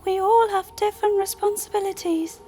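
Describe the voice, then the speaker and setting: A woman voicing an ancient, self-righteous celestial sister in an audio drama, with a formal, measured, lecturing manner. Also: extremely high pitch, fairly even intonation, mellow, soft background music. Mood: grave, explanatory.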